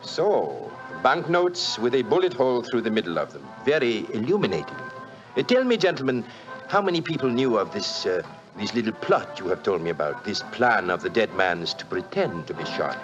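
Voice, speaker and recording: An actor with a French accent playing a brisk, courteous French police inspector in a 1940s radio drama, with formal, clipped questioning. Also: male voice, fairly low pitch, big pitch swings, music in the background. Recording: dull 1940s radio broadcast.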